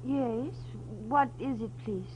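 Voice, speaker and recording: High-voiced, excitable foreign glamour woman. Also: slow pace. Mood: wary, haughty. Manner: theatrical, stiffly phrased, dramatic. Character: excitable, haughty foreign glamour woman, rich man's darling. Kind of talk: 1940s radio drama acting. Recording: muffled old radio recording with hum.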